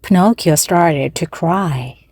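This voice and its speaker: A woman reading a children's story aloud slowly and carefully for language learners to repeat. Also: lowish voice, darkish tone, dry recording. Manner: slow, careful, evenly paced reading.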